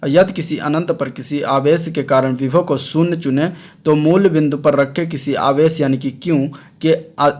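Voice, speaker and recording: A man with a slightly high voice delivers an explanatory science lecture. He speaks as a teacher reading through notes, didactic in manner, regularly dropping in small check-in tags as he moves from point to point. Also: steady tone, measured pace, a little mellow. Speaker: science teacher for exam preparation.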